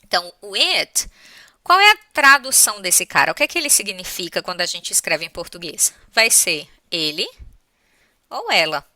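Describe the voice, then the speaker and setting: A woman's voice: on the low side, big pitch swings, moderate pace, bright, forceful tone, no echo, clean studio sound. A Brazilian woman teaching a grammar lesson in a conversational, step-by-step way, often checking that listeners follow. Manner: didactic, conversational, spells points out step by step. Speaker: English teacher.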